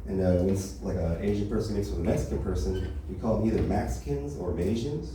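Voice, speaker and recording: A young man with an American accent works through a stand-up set at an open mic, his voice sitting fairly low. He rambles, padding every joke with "you know" and "right?". The sound is roomy and distant, with plenty of echo and noise around it. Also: quick pace, mellow. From Los Angeles area, USA. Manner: rambling, filler-heavy delivery with constant "you know, right?". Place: small comedy club open mic. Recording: echoey room, from far off, noisy.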